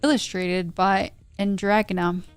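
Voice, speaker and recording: A woman's voice: mid-range voice, fairly even intonation, takes it slowly, smooth. A woman hosting a livestream read-along, chatting casually in a playful, rambling way, with English as her second language. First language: not English. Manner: playful, rambling, breaks into sound imitations. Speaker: livestream host reading pulp science fiction aloud. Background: faint music.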